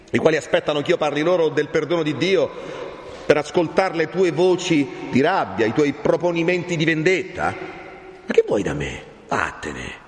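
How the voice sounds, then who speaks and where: A man voicing a solemn, severe old friar with grief in him, grave and admonishing like a preacher, in a dramatised novel reading. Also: fairly low pitch. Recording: echoey room with long reverb.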